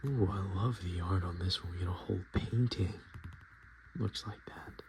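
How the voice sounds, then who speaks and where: A man speaking softly and often whispering in a casual ASMR style, with hesitant, halting, murmured delivery. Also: sits low, creaky. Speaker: ASMR video host. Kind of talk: soft-spoken and whispered ASMR, casual commentary. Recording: noticeable background noise.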